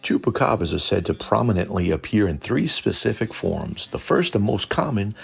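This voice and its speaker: A man with a gravelly, husky voice narrating lore informally, with a wry, irreverent manner. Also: fairly low pitch, sing-song, normal speed, faint music behind. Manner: irreverent, wry, mildly profane. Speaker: host.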